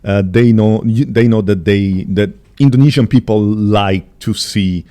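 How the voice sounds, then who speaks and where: A man hosts a relaxed podcast and asks his guest questions in a halting, chatty way, often restarting his sentences. His voice is low with a dark, mellow tone. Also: clean tone. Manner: halting and chatty, with frequent restarts and drawn-out phrasing. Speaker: podcast host. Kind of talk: casual podcast interview.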